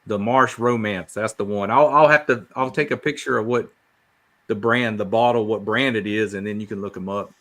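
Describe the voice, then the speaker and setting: A man with a fairly low voice talks as a podcast guest. His delivery is casual and conversational. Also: steady tone, moderate pace, fairly bright tone. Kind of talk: casual chat.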